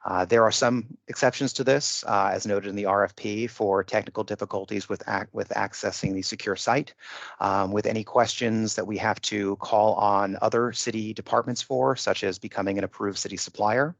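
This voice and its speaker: A man's voice: lowish voice, steady tone, normal speed, vocal fry, no echo. A man methodically giving a formal procedural briefing as an official on a conference call, stressing the rules. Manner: methodical, emphatic about rules. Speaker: procurement official leading a bid conference call. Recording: muffled, dull conference-call audio.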